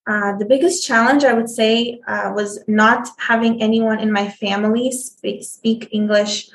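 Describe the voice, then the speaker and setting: A woman guest telling her story in an interview, speaking haltingly with many fillers and restarts. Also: a little high, fairly even intonation, reverberant, slight background noise. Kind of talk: conversational interview.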